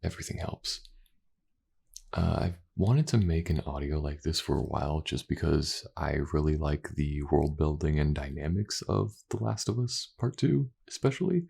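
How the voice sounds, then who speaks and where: Very deep, creaky-voiced man. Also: lively intonation, normal speed, darkish tone. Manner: low-key, informal. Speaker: ASMR voice actor, channel creator. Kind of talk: casual outro addressed to listeners.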